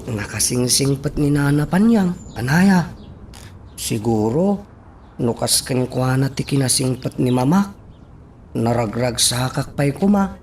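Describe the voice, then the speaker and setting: A man's voice: medium pitch, expressive, unhurried, darkish tone, a little hiss or hum. A man playing an earnest, sentimental young son in an Ilocano radio drama. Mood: wistful. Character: earnest, sentimental young son longing for his late father.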